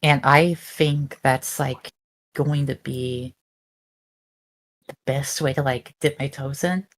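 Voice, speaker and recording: A woman's voice: a bass voice, fairly even intonation, takes it slowly. A woman talking casually about her hobbies on an online call, hesitant and full of fillers. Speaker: group chat participant. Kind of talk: casual chat.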